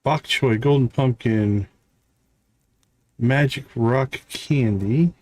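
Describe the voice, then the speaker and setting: A man's voice: mid-range voice, slow, a little mellow, dry recording. A man giving casual live gameplay commentary, thinking aloud and chatting with viewers as he plays. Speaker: live streamer playing a farming game.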